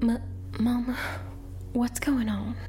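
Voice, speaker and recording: A woman as a high-voiced, confused young girl. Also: little pitch movement, slow, mellow, faint music behind. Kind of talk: dark fantasy audio drama.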